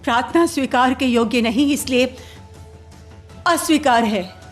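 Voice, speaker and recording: Radio play role: headstrong young heroine, high-pitched, unhurried, formal and theatrical. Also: female voice, faint music behind. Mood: polite, firm. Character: spirited, curious young woman, polite but headstrong. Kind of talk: Hindi radio play acting.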